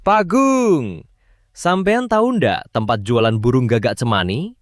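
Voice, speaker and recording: A cheerful, chatty neighbor, his pitch swinging up and down as he talks. The recording is close and dry. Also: a little slow, no echo. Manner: chatty, lively, friendly. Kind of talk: animated cartoon voice acting.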